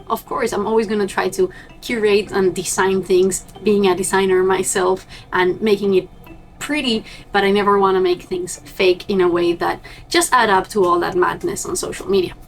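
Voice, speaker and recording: A woman's voice: mid-range voice, flat delivery, normal speed. A young woman from Argentina, a native Spanish speaker, chatting upbeat and direct to her viewers as a cruise ship crew member vlogging. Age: young adult. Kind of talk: casual vlog to camera.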